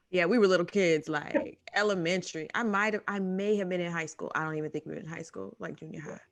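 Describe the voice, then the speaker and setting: A woman's voice: lowish voice, fast talker, gravelly, hardly any pauses. Young woman in casual, playful podcast chat. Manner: colloquial, playful. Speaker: podcast host.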